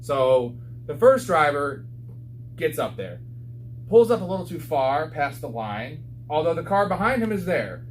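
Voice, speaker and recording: A man's voice: fairly high pitch, sing-song, a little slow, a little hiss or hum. An American man co-hosting a sports podcast, talking and telling stories in a casual, rambling, profane way. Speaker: podcast co-host.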